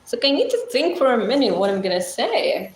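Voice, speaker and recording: A Moroccan woman with a Moroccan accent, hosting a casual livestream with a blunt, chatty, deep-voiced way of talking and frequent laughs. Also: big pitch swings, fairly quick, reverberant, slight background noise. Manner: chatty, blunt, laughs often, thinks aloud. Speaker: livestream host. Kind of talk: casual livestream chat reading comments.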